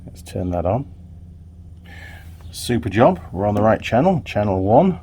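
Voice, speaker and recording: A man with a fairly low, husky voice hosts a hands-on workshop vlog. He chats informally and thinks aloud as he works, speaking to the viewer like a mechanic at the bench. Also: big pitch swings, unhurried, warm tone. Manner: chatty, informal, talking to the viewer while working. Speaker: motorbike mechanic and video host.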